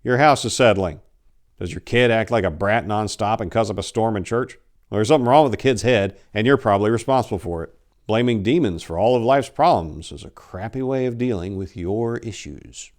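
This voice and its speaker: A man in his forties giving a theatrical, expressive dramatic reading of horror fiction. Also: fairly low pitch, brisk. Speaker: storyteller. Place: small indoor room lined with bookshelves. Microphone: lapel.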